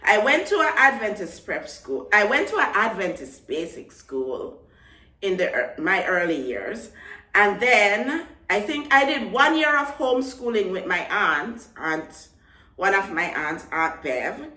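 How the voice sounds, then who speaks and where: A woman's voice: medium pitch, sing-song, a little slow, bright, forceful tone, roomy sound, recorded from a distance. A young woman from Jamaica with a Jamaican accent, chatting casually to her vlog audience and repeating words for emphasis. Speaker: vlogger. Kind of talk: casual talk to camera.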